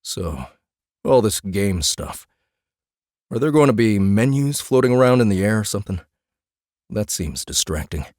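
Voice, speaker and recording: A man's voice: on the low side, big pitch swings, measured pace, pauses often, a little mellow, no room sound, clean studio sound. A man voicing a blunt, exasperated family man and orchard farmer in a fantasy audiobook, hesitant and irritated. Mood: annoyed, blunt.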